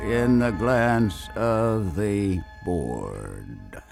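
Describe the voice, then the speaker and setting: A man reading verse aloud in a slow, drawn-out, declamatory way. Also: on the low side, expressive, gravelly, over music. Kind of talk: slow dramatic poetry reading.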